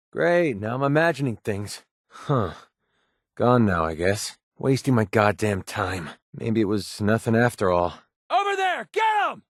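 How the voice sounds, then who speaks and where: Cocky mercenary, wildly swinging pitch. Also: male voice, creaky, fairly bright tone, no room sound, clean studio sound, full character voice. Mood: bored, dismissive, then aggressive, urgent. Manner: sardonic, cocky, clipped. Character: cocky, sardonic young male wasteland mercenary gunman. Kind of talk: video game companion voice lines and combat barks.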